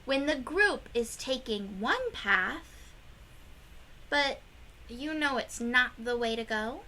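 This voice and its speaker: A woman reading a picture book aloud to young children, with expressive emphasis and questions to her listeners. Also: a little high, slow pace, rough recording. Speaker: children's read-aloud host.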